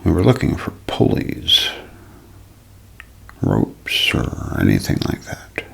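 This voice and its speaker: Man reading as a measured, gravelly male cryptozoologist. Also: a bass voice, huge pitch swings, voice pushed down, exaggerated voice. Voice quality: breathy, gravelly, hushed. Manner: measured, deliberate. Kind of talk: ASMR reading of a quote.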